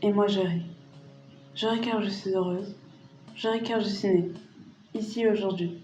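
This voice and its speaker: A woman's voice: mid-range voice, fairly even intonation, measured pace, dark tone, roomy sound, soft background music, natural voice, rough recording. An actress playing an Indian girl who grows into a lonely bride, narrating her own life in a scripted dramatic style. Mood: joyful. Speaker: lead narrator.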